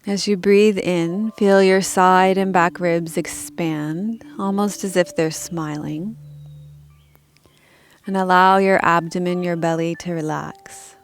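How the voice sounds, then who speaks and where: A woman leading a guided meditation as a teacher, speaking calmly and gently in measured instructions with pauses. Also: lowish voice.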